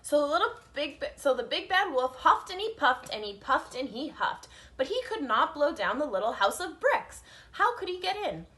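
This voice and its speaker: A woman reading a picture book aloud to children as a teacher, in an expressive storytelling style. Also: sits high, moderate pace.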